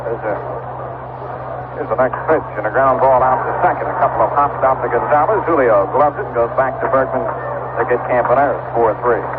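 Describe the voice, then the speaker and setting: A man doing unhurried, descriptive play-by-play commentary as a radio baseball announcer, pausing between phrases. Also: mid-range voice. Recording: vintage narrowband radio broadcast, loud background noise.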